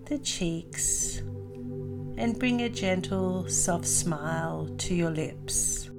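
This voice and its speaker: A woman leading a guided meditation as host, calm and soothing, in deliberate phrases with long pauses. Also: fairly low pitch, loud music behind the voice.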